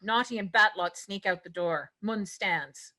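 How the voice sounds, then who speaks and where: A woman's voice: mid-range voice, a little slow, sharp tone. A woman reading scripted narration and stage directions for a fantasy table read.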